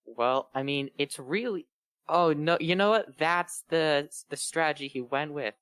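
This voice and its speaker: A man's voice: a little high, a little slow, a bit bright, clear, resonant tone, no echo. A man chatting and joking casually as one of a group reading fan fiction aloud. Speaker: reader on a bad fanfiction show.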